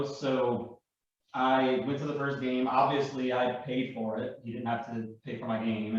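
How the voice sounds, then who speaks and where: A man tells stories in a loose, conversational way, like a hobbyist guest on a panel. His voice is average in pitch. It sounds far from the microphone, with heavy room echo. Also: a little mellow. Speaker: live action roleplay enthusiast, panel guest. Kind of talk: casual conversational storytelling. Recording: very echoey room, distant mic, muffled.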